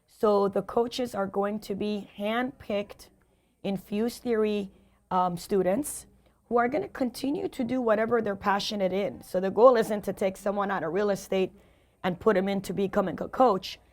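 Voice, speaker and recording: A hoarse woman speaks as a sales coach in an interview, her voice worn from talking all day. She talks in a driven, motivational way and hammers her points home by repeating them. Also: mid-range voice, moderate pace. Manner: emphatic, motivational, repeats key phrases. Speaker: sales and leadership coach, guest. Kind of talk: energetic interview answers.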